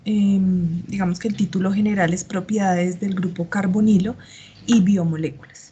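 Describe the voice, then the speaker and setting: A woman teaches a chemistry lesson over a computer microphone on a video call. Her voice sits at an ordinary middle pitch, a little strained by a sore throat. Her explanations are patient and full of small filler sounds, with a faint hiss behind her. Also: steady tone, moderate pace, warm tone, lo-fi sound. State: slight cough, sore throat. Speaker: chemistry tutor. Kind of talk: online tutoring lecture. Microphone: computer mic on a video call.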